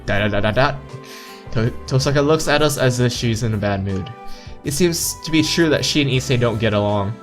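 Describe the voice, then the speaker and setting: A man's voice: medium pitch, brisk. A man reading visual novel text aloud as a let's player, stumbling over lines and repeating words, with joking asides. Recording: game music behind voice.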